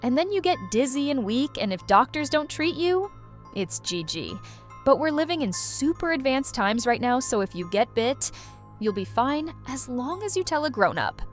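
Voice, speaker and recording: A woman hosting a video with casual, playful, exaggerated commentary for young viewers. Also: high voice. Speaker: host of a reaction video channel.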